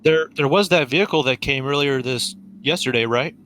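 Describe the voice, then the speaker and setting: A man's voice: mid-range voice, moderate pace, a bit bright, slight background noise. A man talking casually, partly in character, as a player in a tabletop game.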